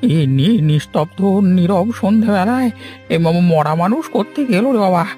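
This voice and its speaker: A man's voice: sits high, lively intonation, normal speed, dark tone, soft background music. A man voicing a frightened old villager in a melodramatic cartoon, stammering and wailing. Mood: panicked, pleading.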